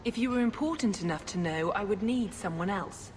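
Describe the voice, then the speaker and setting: Actress as a stern, zealous holy sister. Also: female voice, medium pitch, expressive, darkish tone, a little hiss or hum, lightly acted. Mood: stern, righteous. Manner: formal, measured, self-righteous. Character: stern, zealous Chantry sister with a covert scheme. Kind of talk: scripted fantasy video game dialogue.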